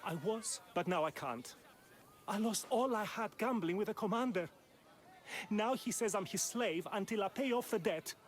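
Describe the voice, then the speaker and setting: A male actor plays a hapless young gambler in a video game. His high voice is plaintive and nervous, set against faint outdoor ambience. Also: moderate pace, slight background noise, close to an everyday voice. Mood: despairing. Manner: anxious, plaintive. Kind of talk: video game dialogue acting.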